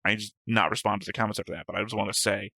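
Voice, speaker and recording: A man with a somewhat low but bright, forceful voice talks through his episode notes in casual, rambling chat. He pokes fun at his own mistakes and explains his points at length. The sound is close and dry. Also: fairly even intonation, racing, vocal fry, no room sound. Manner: chatty, self-deprecating, long winding explanations. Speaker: reaction show co-host. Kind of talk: casual discussion of an anime episode.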